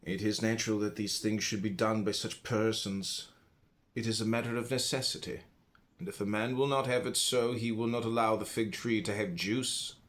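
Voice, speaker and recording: A man from the United States reading a philosophical text aloud in a measured, deliberate way, phrase by phrase with pauses. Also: on the low side, fairly even intonation, a bit bright. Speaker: audiobook reader.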